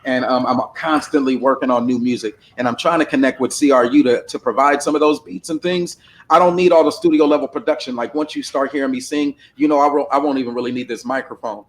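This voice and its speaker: A man's voice: medium pitch, steady tone, fast talker. A man hosting a casual livestream panel discussion, talking bluntly and with plenty of profanity over a desktop microphone. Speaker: panel host.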